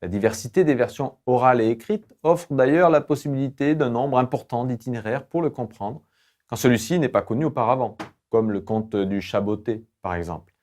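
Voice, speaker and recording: Male e-learning narrator, medium pitch, formal and didactic, measured scripted delivery. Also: a little mellow. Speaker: online course lecturer.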